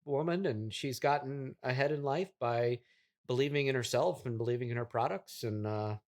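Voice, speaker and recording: A man's voice: medium pitch, normal speed, darkish tone, natural voice. Man playing a rambling, overconfident app entrepreneur. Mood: breezy. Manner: rambling, vague, breezily overconfident. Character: affluent, evasive, self-assured male app developer. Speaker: comedic interview guest. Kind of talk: improvised mock podcast interview.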